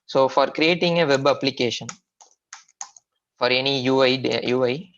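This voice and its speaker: A man with an Indian accent teaches a technical class in a medium-pitched voice. He explains informally, often hesitating and repeating himself as he goes. The audio is dull and low in fidelity, like an online training recording. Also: fairly bright tone. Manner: halting, repetitive explaining with frequent hesitations. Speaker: software trainer.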